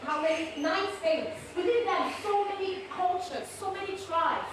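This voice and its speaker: A woman playing a hesitant, apologetic actor in a stage monologue, speaking politely and earnestly with halting delivery. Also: very high voice, pitched above the performer's own voice. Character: hesitant, apologetic young actor questioning the script. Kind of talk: theatrical solo stage monologue performance. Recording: echoey hall, distant mic, noisy.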